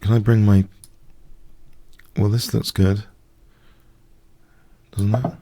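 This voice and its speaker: Low, gravelly man with a head cold, hesitant live blitz chess commentary at a desk mic. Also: flat delivery, takes it slowly, dark tone, slight background noise. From not from the United States. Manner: hesitant, stammering restarts. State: congested with a cold. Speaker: chess streamer.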